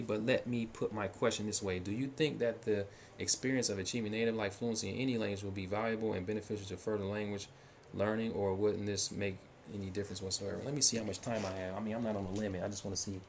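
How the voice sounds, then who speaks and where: A man's voice: on the low side, steady tone, fast talker, a little hiss or hum. A man telling a long, casual story to his viewers in a loose way, full of fillers and restarts. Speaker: vlogger answering viewer questions.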